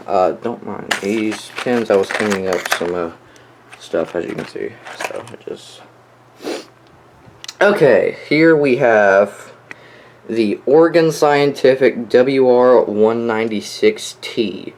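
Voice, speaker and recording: A man's voice: medium pitch, lively intonation, slow, noisy background. Young man casually showing off gadgets. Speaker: collector showing weather radios. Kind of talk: casual product showcase vlog.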